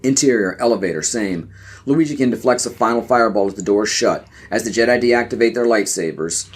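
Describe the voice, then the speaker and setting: A man's voice: on the low side, fairly quick, husky. Man narrating a scripted audio play. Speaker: podcast narrator. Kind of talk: scripted narration, reading stage directions.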